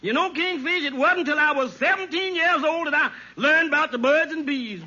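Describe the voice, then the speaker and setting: A man playing an excitable, shady bachelor in an old radio comedy, emphatic and comically earnest. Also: very high voice, brisk, full character voice. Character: excitable, shady bachelor lawyer full of silly schemes. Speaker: sitcom actor. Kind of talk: 1940s-50s radio comedy acting. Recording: muffled, noisy old radio recording.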